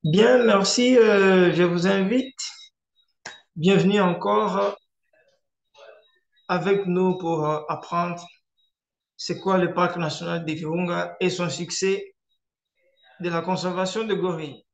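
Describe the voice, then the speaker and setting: A man from eastern Congo, a Swahili speaker, giving a measured online presentation as a conservationist with frequent hesitation fillers. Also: sits high, fairly even intonation, vocal fry. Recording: roomy echo.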